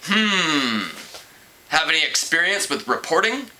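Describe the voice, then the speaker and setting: A man's voice: on the high side, very animated, measured pace, bright, forceful tone, full character voice, rough recording. A man voicing a harried, overworked newspaper reporter in a storybook, informal and grumbling. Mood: considering.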